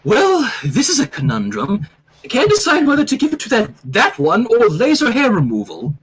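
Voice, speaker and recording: A man's voice: very high voice, wildly swooping pitch, moderate pace, slightly rough, voice pushed up, exaggerated voice. A man voicing a prim, ladylike young female cartoon character with fussy, dramatic phrasing. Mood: torn.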